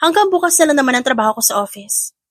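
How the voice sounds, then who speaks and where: A woman's voice: high-pitched, expressive, fast talker, no echo, clean studio sound, pitched above the performer's own voice. A woman with a Filipino accent voicing a proud, guarded businesswoman in an audiobook romance, firm and direct. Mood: calm, reassuring.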